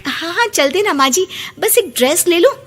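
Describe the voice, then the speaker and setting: A woman's voice: very high-pitched, expressive, moderate pace, fairly bright tone, long reverb tail, faint music behind, pitched above the performer's own voice. A woman voicing a put-upon, resentful young daughter-in-law in a cartoon story, sarcastic and complaining. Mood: pleading.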